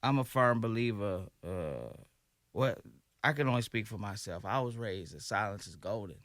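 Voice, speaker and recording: A man hosting a radio show, speaking in a calm, measured and reflective way in the studio. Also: lowish voice, dry recording. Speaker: radio host. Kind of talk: radio interview.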